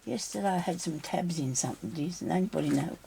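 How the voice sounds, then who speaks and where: A woman with a slightly raspy voice, recovering from a sore throat, chatting casually to viewers and to herself as she crafts. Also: sits low, brisk, mellow, a little hiss or hum, poor audio. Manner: chatty, talks to herself while working. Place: craft table indoors.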